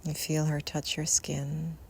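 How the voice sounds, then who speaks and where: Very slow, low-voiced woman guiding meditation. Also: monotone. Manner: soft, unhurried, with long pauses. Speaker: meditation guide. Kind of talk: guided Yoga Nidra meditation. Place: outdoors in nature. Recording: outdoor recording with faint ambient noise. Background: faint natural ambience.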